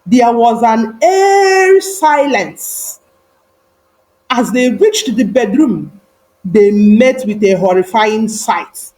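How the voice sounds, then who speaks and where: A woman narrating a moral folktale in a solemn, deliberate, emphatic and dramatic style. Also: fairly high pitch, darkish tone, rough recording. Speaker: storyteller.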